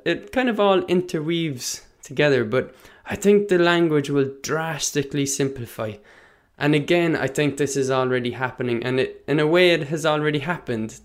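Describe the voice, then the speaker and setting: Irish man, Munster accent, mid-pitched, easygoing teacher who thinks aloud and corrects himself. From Ireland. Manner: thoughtful, with frequent "uh" fillers and self-corrections. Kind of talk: casual spoken lesson, talking to the listener.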